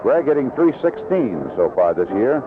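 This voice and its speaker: A man's voice: medium pitch, big pitch swings, moderate pace. A North American man calling baseball play-by-play as a live radio announcer. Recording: tinny, noisy vintage AM radio broadcast.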